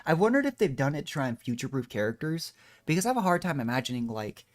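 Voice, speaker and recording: A man's voice: a little high, sing-song, fast talker. A man doing casual, rambling gameplay commentary as a streamer, thinking aloud in a modest, unsure way. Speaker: video game streamer.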